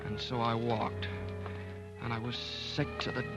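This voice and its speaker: A man's voice: medium pitch, fairly even intonation, measured pace, over loud music, close to an everyday voice. Man acting a hesitant, troubled American doctor, old radio drama. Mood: haunted narration. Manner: hesitant, stammering. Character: troubled, guilt-ridden male doctor, lovelorn and weak.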